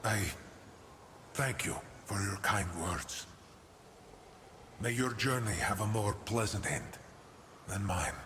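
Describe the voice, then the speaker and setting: An actor playing a ghostly sea captain in a video game, proud yet mournful, speaking slowly and in a grand theatrical way. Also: male voice, fairly low pitch, background noise. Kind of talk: video game cinematic acting. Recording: long cavernous reverb, faint ambience.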